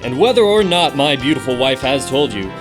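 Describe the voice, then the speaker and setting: A man's voice: medium pitch, big pitch swings, a little fast, close to an everyday voice. Man acting a theatrical stage magician, music behind. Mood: grand showmanship. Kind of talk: audio drama acting.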